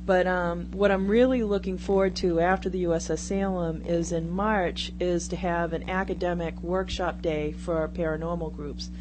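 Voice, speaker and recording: A woman's voice: lowish voice, fairly even intonation, moderate pace, hardly any pauses. A woman speaking casually as a guest on a radio talk show. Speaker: guest, shop owner. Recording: noisy radio show audio with hum.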